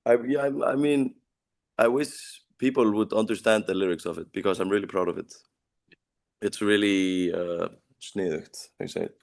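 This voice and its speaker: A man's voice: fairly low pitch, normal speed, gravelly. A young man with an Icelandic accent, a band singer answering interview questions in casual English that is not his first language. He searches for words and leans on "like" as he talks. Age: young adult.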